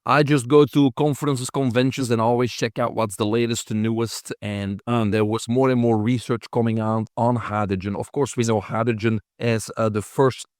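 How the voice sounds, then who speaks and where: A man from Belgium with a Belgian accent, giving earnest, steady interview answers with frequent fillers. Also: mid-range voice, normal speed, few pauses, no room sound, studio quality. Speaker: podcast guest, health coach.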